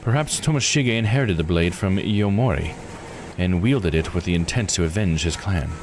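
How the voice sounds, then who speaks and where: A man voicing a formal, scholarly investigator with measured, old-fashioned phrasing in a visual novel read-aloud. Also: low-pitched, over music, pitched below the performer's own voice. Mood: speculative, solemn.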